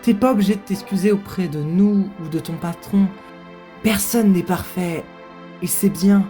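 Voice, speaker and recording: A woman's voice: medium pitch, moderate pace, dark tone, natural voice. A woman voicing a fierce, spirited female warrior monster and mentor in an animation fan dub, with emphatic theatrical delivery. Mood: earnest reassurance. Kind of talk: dramatic animation dubbing. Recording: music behind the voice.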